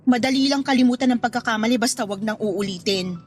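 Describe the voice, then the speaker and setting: A woman's voice: a little high, fast talker, soft background music, close to an everyday voice. A woman playing a hurt but forgiving young girlfriend in a Tagalog radio drama, speaking earnestly and reproachfully. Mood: coaxing.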